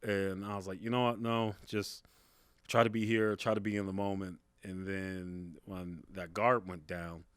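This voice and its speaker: Low-voiced man telling a story casually. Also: level tone, measured pace, clear, resonant tone, dry recording, clean studio sound. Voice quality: big, carrying voice. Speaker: podcast guest, stand-up comedian.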